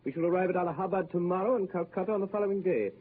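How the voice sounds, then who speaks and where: An actor playing a calm, precise English gentleman traveler who talks in clipped commands, in 1940s radio acting on a tinny old recording. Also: high voice, racing, slight background noise, lightly acted.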